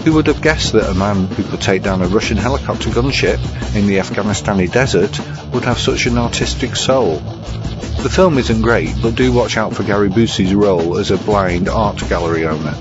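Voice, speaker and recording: A man's voice: on the low side, normal speed. A man presenting a scripted review segment as a narrator. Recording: dull lo-fi recording under music. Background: guitar music.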